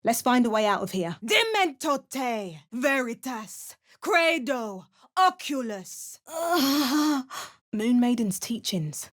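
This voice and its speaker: A woman with an English accent voicing a hardened, wry female adventurer in a video game, making dry remarks and chanting spells. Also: a little high. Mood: curious, then incanting. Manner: chants spell words in a lively, sing-song way. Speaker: player character.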